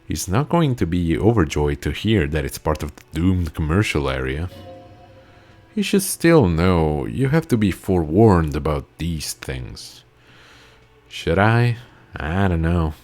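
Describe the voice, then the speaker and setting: A man's voice: deep voice, big pitch swings, a little slow, a little mellow, soft background music. A man whose first language is Italian, reading game dialogue aloud in a let's play, playful and swearing casually. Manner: playful, laughs at his own lines, swears casually. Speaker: gamer.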